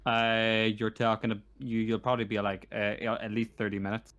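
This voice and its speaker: A man speaking casually as a game master, narrating a tabletop game in a halting, hesitant way. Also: on the low side, monotone, a bit bright, dull audio.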